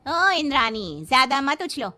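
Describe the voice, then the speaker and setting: Woman as old cartoon mother-in-law, quarrelsome, possessive, bossy, very high voice, music behind. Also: very animated, moderate pace, sharp tone, heavily acted. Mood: needling.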